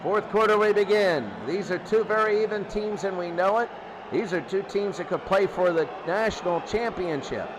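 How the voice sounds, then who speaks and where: A man giving informal, excitable play-by-play football commentary in short, repeated phrases. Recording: noisy, steady background rumble.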